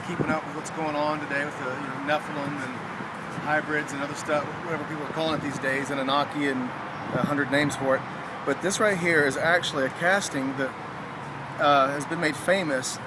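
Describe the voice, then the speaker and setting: Male casual presenter, slightly high voice, loud vehicle noise outdoors. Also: steady tone, brisk. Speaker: website owner presenting an item. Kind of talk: casual talk to camera. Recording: very noisy, traffic-like outdoor ambience.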